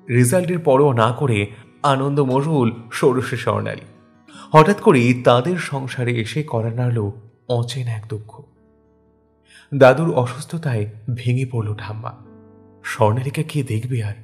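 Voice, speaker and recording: A man narrating a scripted love story in a dramatic, wistful, expressive manner. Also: medium pitch. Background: soft instrumental music.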